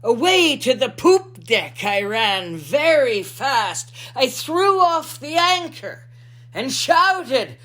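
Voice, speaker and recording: A woman voicing a salty old pirate lookout, spinning a rhyming Christmas yarn in a theatrical, drawn-out manner. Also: sits high, big pitch swings, a bit bright, voice pushed up, full character voice. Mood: excited.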